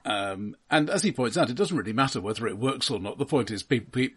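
A man co-hosting a casual film discussion podcast, halting as he talks and often stammering over repeated words. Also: mid-range voice, lively intonation, fairly bright tone, breathy edge. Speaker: podcast co-host.

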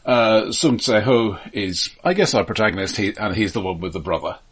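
A man co-hosting a casual film podcast with dry, ironic humour, often halting and repeating words as he goes. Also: fairly low pitch, breathy edge. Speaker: podcast co-host.

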